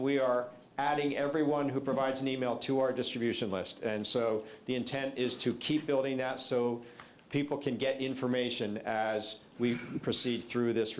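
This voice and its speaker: A man's voice: mid-range voice, a little hiss or hum. A man moderating a public community meeting, going over the ground rules in a casual way with a lot of um fillers. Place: meeting hall.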